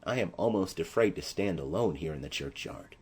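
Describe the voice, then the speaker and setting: A timid young page from a classical tragedy, acted by a male reader. The husky voice is anxious and fearful, exclaiming its lines. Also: low-pitched, expressive, fairly quick, a little mellow, pitched below the performer's own voice, heavily acted. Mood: afraid. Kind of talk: classical tragedy audiobook acting.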